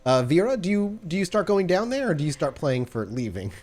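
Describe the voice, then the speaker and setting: A man's voice: on the high side, very animated, brisk, darkish tone. A man narrating an improvised tabletop game as game master, descriptive and conversational.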